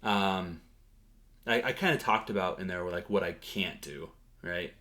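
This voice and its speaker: A man's voice: sits low, a little slow, sharp tone. A man giving a casual vlog update on his language learning, talking hesitantly with frequent fillers and restarts.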